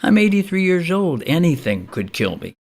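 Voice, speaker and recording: A man's voice: fairly high pitch, big pitch swings, normal speed, creaky, heavily acted. An actor playing a blithely frank man of eighty-three in an audio play, telling his story in a folksy, rambling, matter-of-fact way. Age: older.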